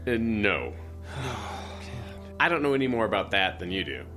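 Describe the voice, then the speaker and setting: An actor playing a weary, reluctant small-town lawman in an audio drama, speaking in a measured, hesitant, plain-spoken way. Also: lowish voice, sing-song, sharp tone, soft background music, heavily acted. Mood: weary, evasive.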